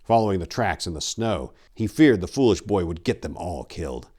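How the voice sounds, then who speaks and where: A man narrating dark fantasy horror as a dramatic reading, with a grave, intense, theatrical delivery. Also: deep voice, big pitch swings, moderate pace.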